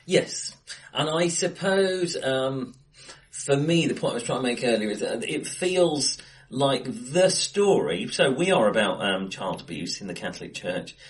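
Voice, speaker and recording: A man with a mid-pitched voice talks through his opinions as a podcast co-host. He is hesitant and often revises himself mid-sentence. The room he is recorded in sounds bright and reflective. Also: sing-song, unhurried. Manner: hesitant, thinking aloud, self-correcting. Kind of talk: casual film discussion. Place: acoustically bright room indoors. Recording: echoey bright room, face to face.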